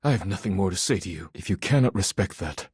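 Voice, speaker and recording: A man voicing an earnest, proud poet in a video game, speaking in formal, solemn, stilted diction with a husky voice. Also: low-pitched, animated, fast, a little mellow, no room sound, clean studio sound, full character voice. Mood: cold, contemptuous. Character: earnest, proud male poet devoted to dishware, formal and intense. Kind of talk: video game character voice acting.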